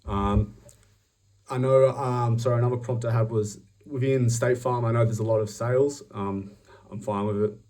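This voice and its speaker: A young man with an Australian accent giving a self-recorded job application pitch, upbeat and self-promoting, with many ums and restarts. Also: steady tone, moderate pace, darkish tone, distant mic, low-quality recording.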